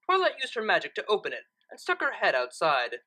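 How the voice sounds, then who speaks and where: A woman's voice: medium pitch, wildly swooping pitch, fairly quick, pushed and bright. A woman hosting and reading stories, speaking casually and emphatically with enthusiasm. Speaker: channel host and story reader. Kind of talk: casual vlog-style update and story narration.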